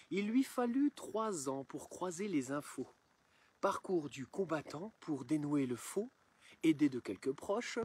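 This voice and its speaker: A man reciting satirical rhymed verse in a theatrical, measured, declamatory storytelling manner. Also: on the high side, husky. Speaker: poem reciter.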